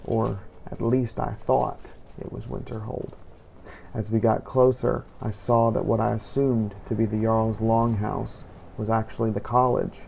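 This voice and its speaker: A man's voice: fairly low pitch, creaky, dark tone, slight background noise, poor audio. A man narrating a scripted first-person fantasy roleplay story for a game playthrough.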